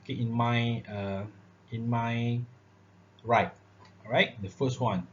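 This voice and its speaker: Man, lecturer, halting with fillers, dull lo-fi sound, faint hiss. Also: mid-range voice, steady tone. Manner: filler-heavy, repeats "all right" and "okay". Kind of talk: classroom lecture on public speaking. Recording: dull lo-fi recording with faint noise.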